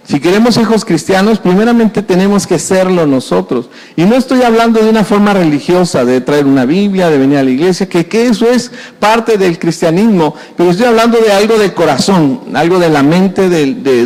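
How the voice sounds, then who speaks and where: Reverberant, high-voiced pastor preaching. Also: male voice, a little mellow. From Mexico. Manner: conversational, with frequent repetitions and folksy sayings. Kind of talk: sermon. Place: church hall. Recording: reverberant church hall.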